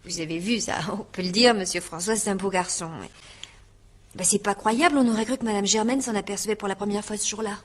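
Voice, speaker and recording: An actress playing a naive, talkative young housemaid in a radio drama, colloquial, hesitant and then eagerly confiding. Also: female voice, medium pitch, fairly even intonation, fast, close to an everyday voice.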